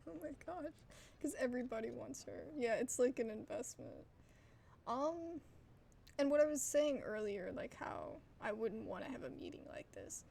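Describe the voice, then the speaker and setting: Very animated, creaky-voiced woman gaming host. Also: on the high side, moderate pace, a little hiss or hum. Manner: informal, wry asides, trailing into mutters. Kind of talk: casual playthrough commentary, reacting to dialogue.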